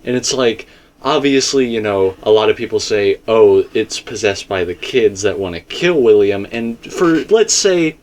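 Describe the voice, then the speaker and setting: A man's voice: fairly low pitch, animated, moderate pace. A man in a casual theory discussion, explaining his points emphatically and circling back to them. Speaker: video game theorist.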